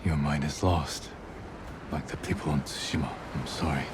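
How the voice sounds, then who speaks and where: Stoic game samurai: very low, solemn, measured, over noisy ambience. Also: male voice, fairly even intonation. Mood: somber. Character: stoic, grieving samurai warrior, grown man, protective. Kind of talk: video game cutscene acting.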